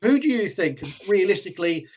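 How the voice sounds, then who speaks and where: Male football panellist, high voice with lively swings, exasperated and sweary in casual fan talk. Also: brisk. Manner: animated, exasperated banter, sweary.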